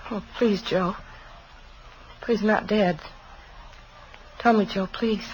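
A woman playing an American policeman's wife in a 1950s radio drama, expressive and plain-spoken. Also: mid-range voice, slow pace, lightly acted, poor audio. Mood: pleading, stricken. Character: policeman's wife, friendly hostess turning anxious. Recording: old radio broadcast, some noise.

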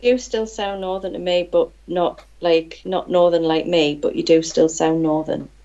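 A woman's voice: lowish voice, steady tone, moderate pace, a crowd in the background. A woman in her forties with a Geordie accent, talking in a plain, matter-of-fact way as a guest. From Newcastle, North East England. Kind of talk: casual chat. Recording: dull, muffled audio.

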